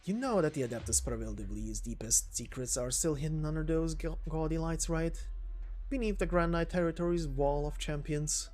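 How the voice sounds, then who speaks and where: A man voicing a long-lived, menacing assassin from a game story, speaking deliberately and formally with ominous pauses. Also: a little high, a little mellow, soft background music, close to an everyday voice. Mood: suspicious. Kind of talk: dramatic game story reading.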